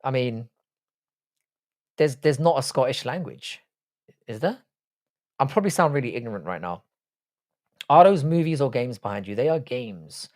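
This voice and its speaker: A man's voice: medium pitch, expressive, normal speed, pauses often, a little mellow. A man in his forties with a South London accent, hosting a casual live stream, rambling, swearing freely and answering viewers' chat. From South London, England. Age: 40s.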